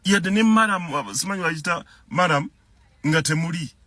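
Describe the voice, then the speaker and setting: A man's voice: a little high, normal speed, no room sound. A man with a Ugandan accent delivering an impassioned political rant, repeating and tripping over words as he gets worked up. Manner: animated, repeats words and stumbles when heated. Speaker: concerned citizen commentator.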